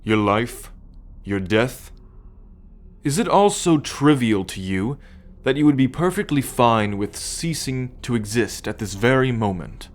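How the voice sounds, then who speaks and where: A man playing a proud, possessive alien starship captain in an ASMR roleplay, speaking in a measured, formal, commanding way to the listener. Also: mid-range voice, expressive, lightly acted. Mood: stern, challenging. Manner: measured, imperious, formal lecturing.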